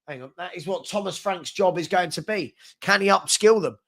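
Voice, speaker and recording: Young man, higher-pitched voice, sniffly with a cold, upbeat live-stream host, quick shout-outs. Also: gravelly. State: has a head cold, a bit sniffly. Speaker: host of a live fan chat show. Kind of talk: casual live-stream chat reading out viewers' comments.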